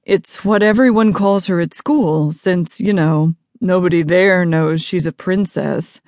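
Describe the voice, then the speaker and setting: A woman's voice: on the low side, a little slow, darkish tone, no echo, studio quality. A woman voicing an earnest, defensive teenage boy in an audiobook, somewhat hesitant in manner. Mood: sheepish. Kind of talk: audiobook character dialogue.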